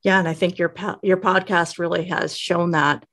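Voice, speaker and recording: A woman with a fairly low voice and noticeable vocal fry talks in a podcast interview. She is measured and affirming as she converses. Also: level tone, a bit bright. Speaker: guest interviewer, resilience specialist.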